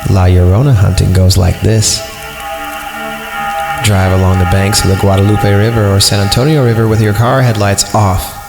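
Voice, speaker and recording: A man's voice: lowish voice, steady tone, normal speed, creaky. A man reading a story aloud as a podcast host, irreverent and crude, breaking off into sarcastic comic asides. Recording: roomy echo with music behind. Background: music.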